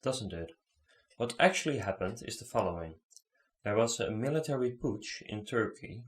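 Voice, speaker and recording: Man, lowish voice, earnest, argumentative, reaction commentary. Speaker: video commentator.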